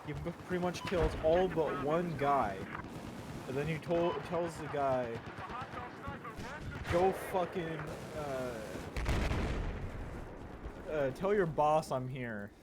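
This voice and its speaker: A man casually retelling a story, halting with lots of fillers, restarts and swearing while he plays a game. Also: fairly high pitch, noisy background. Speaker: storyteller summarizing a manga. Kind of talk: casual rambling story recap. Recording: loud video game gunfire behind voice. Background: game gunfire and explosions.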